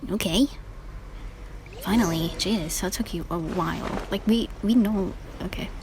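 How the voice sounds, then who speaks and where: A woman giving casual, slangy and excitable reaction commentary while playing through a game's story. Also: mid-range voice, a little slow, noisy background. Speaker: gamer reacting to story quest.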